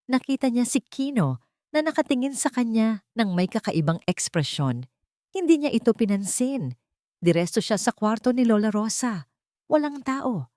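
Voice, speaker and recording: A woman's voice: mid-range voice, darkish tone, no room sound, studio quality. A woman storyteller reading a scripted dramatic story.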